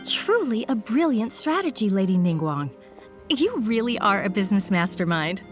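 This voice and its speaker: A woman playing a polite, earnest professional from a craft business in a video game, friendly and expressive. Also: mid-range voice, normal speed, natural voice. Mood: impressed. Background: background music.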